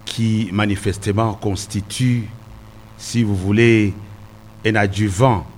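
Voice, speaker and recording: A man speaking on a panel in a deliberate, formal way, with pauses. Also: fairly low pitch, a little hiss or hum. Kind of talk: public debate remarks.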